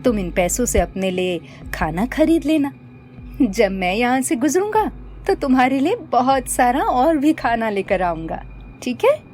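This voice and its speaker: A kind young man working as a village clerk in a cartoon tale, played by a woman. He speaks politely and helpfully, with lively pitch. Music runs underneath. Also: a little slow. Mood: kindly. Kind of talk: Hindi cartoon story acting.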